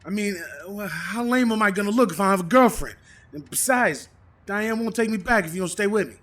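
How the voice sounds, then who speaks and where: A man's voice: sits high, expressive, fairly quick, fairly bright tone. A man acting a selfish, oblivious boyfriend in a comedic audio drama, repeating himself in a whiny, pleading way. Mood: pleading, indignant. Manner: stammering, repetitive, pleading. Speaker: voice actor in an amateur audio drama. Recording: very noisy, long reverb.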